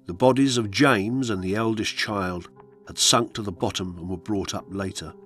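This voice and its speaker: A man reading historical accounts as scripted radio narration, in a measured, solemn storytelling manner. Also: on the low side, a bit bright, faint music behind.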